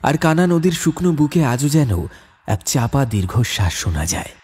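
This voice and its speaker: A man's voice: medium pitch, very animated, moderate pace, a little mellow. A man telling a scripted horror story as a dramatic narrator. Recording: clear background noise, ambience.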